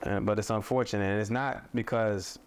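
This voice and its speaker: A man who makes mental health content, talking thoughtfully in a relaxed conversation, with many fillers and restarts. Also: fairly low pitch, a little fast, clean tone. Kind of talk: casual conversation. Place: studio set with a table.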